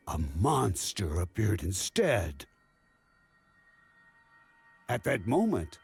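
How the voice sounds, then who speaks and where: A gruff, wary townsman in a fantasy game. His voice is very husky and gravelly, with big swings in pitch and faint music behind. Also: exaggerated voice. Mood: alarmed. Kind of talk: fantasy game cutscene acting.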